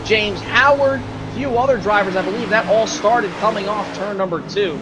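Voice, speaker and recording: A man's voice: sits high, normal speed. A man giving casual color commentary on an online race, explaining strategy with lots of fillers. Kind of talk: sim racing broadcast commentary. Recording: noisy track sound behind.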